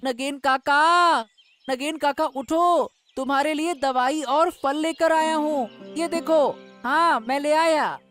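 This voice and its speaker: Woman doing a sweet polite little village boy, high voice, cartoon story, music behind. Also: takes it slowly, a bit bright. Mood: caring, hurried. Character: earnest, caring young village boy, a fisherman's son. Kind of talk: Hindi cartoon story dialogue.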